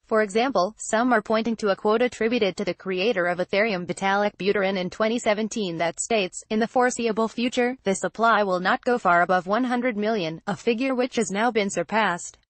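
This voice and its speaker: A woman narrates a written news report in a formal, read-aloud manner. Her pitch sits in the middle range for a woman, and the sound is very dry, with no room around it. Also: fairly quick, few pauses, no room sound. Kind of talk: scripted narration of a news article.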